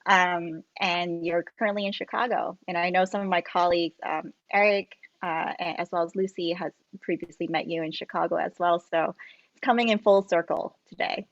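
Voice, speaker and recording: A woman's voice: fairly low pitch, fairly even intonation, normal speed, no room sound. A woman moderating a panel discussion, earnest, with frequent fillers. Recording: dry, dull lo-fi sound.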